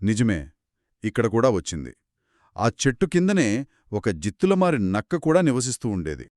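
A man narrates a story for children as if chatting with a partner. His medium-pitched voice moves up and down with great animation and expressive exclamations. The recording is dry, with no room sound. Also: normal speed, no room sound. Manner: expressive, reacts with exclamations and short questions. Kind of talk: conversational children's storytelling dialogue.

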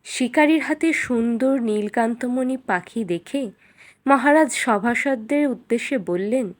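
A woman's voice: a little high, measured pace. A woman narrator reading a scripted fairy tale for children.

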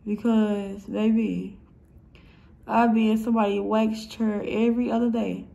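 A woman's voice: fairly high pitch, flat delivery, unhurried, gravelly, dark tone. A young woman with a stuffy, nasal voice talks at home. She rambles on in a blunt, chatty, profane way while she does her makeup for a vlog. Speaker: vlogger. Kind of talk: casual makeup tutorial vlog.

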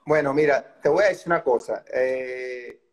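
A middle-aged man with a Venezuelan Spanish accent, a baseball coach as guest, recounting his career in a relaxed, rambling way over a video call. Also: mid-range voice, moderate pace. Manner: relaxed storytelling with many fillers and restarts. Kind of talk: casual interview storytelling. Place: indoor room by a window. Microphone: video call webcam.

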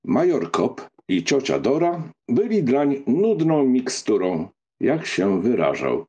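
Husky-voiced man narrating a novel. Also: on the low side, warm tone. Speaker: audiobook narrator. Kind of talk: scripted literary narration.

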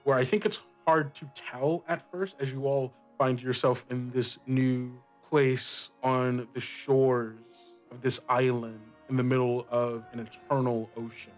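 A man speaking as game master, improvising narration and rulings, halting as he thinks aloud. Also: mid-range voice, fairly even intonation, faint music behind. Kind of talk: improvised tabletop game narration and casual table talk.

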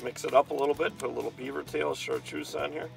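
Man, fishing show host, outdoors on a boat, excitable, slightly high voice, music in background. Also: fast talker, creaky. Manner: excitable, exclaims over each catch. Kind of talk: casual chat while fishing. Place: open boat on a lake, outdoors.

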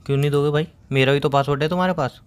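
A man's voice: on the high side, fairly even intonation, racing, smooth. A young man in a casual couple chat, talking in an insistent, teasing way. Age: young adult. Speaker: boyfriend on a phone call.

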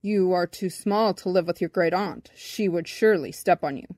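A woman voicing a slow, serious male bumblebee with a rumbly bass voice in a children's storybook. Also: darkish tone, dry recording, clean studio sound, natural voice. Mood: deliberating. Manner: slow, deliberate, pedantic.

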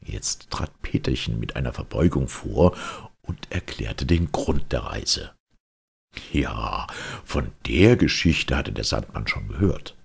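Very deep, gravelly man telling fairy tales. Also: takes it slowly. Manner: theatrical, expressive. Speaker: audiobook reader. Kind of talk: animated fairy-tale storytelling.

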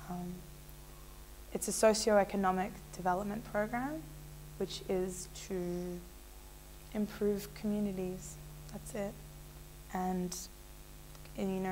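A woman's voice: medium pitch, creaky, darkish tone, slight background noise, rough recording. A young woman in a small indoor room, telling her personal story to a fixed camera in a casual, rambling way with many fillers. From Israel. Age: young adult. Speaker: interviewee.

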